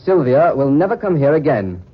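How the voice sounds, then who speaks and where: A man's voice: on the high side, very animated, normal speed, slightly rough. A man acting in a 1940s radio drama as a wronged, earnest husband, formal and stiffly dignified. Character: wronged, earnest gentleman husband, indignant and defiant. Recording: muffled 1940s radio recording.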